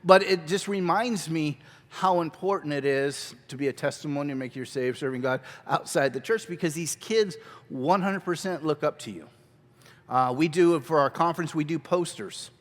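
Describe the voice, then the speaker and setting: A man teaching a children's ministry workshop at a conference, talking energetically and conversationally on a handheld mic. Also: fairly high pitch. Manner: energetic, conversational, quick asides. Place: large church hall. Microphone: handheld microphone. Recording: long hall reverb.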